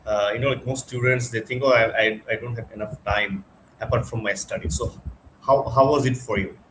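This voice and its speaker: A Bangladeshi man with a Bangladeshi accent, hosting an online interview, talking slowly with many uh fillers. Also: medium pitch, steady tone, noisy background. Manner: slow, with frequent uh fillers. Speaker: webinar host.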